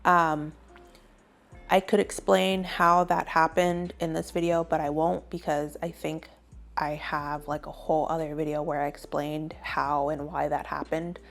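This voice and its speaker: A woman's voice: sits low, little pitch movement, a little slow, gravelly, faint music behind. A young adult woman hosting an advice video, talking casually and conversationally to viewers with emphasis on key points. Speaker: video host, graduate student giving advice.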